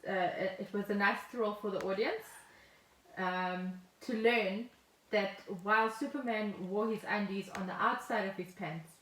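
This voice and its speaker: A woman's voice: medium pitch, moderate pace, a bit bright. A South African woman chatting casually as a podcast co-host, telling stories in a jokey way. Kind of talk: casual chat. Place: at home, indoors. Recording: roomy echo, faint noise, remote call.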